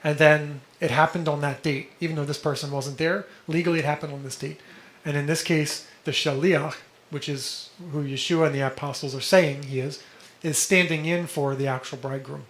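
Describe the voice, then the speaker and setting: A man teaching a study group, explaining texts and often checking in with listeners, a little hoarse and clearing his throat. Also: on the high side, fairly even intonation, moderate pace, fairly bright tone. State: slightly hoarse, coughing. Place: indoor room with a vent. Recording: echoey room with background noise.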